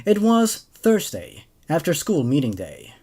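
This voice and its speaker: A man in his twenties hosting and narrating horror stories in an expressive, animated way, recorded indoors at a desk microphone. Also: fairly high pitch, measured pace. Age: 20s. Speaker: host of a horror story reading show. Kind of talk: scripted horror narration with casual commentary. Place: small room at home, indoors. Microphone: large condenser desk microphone.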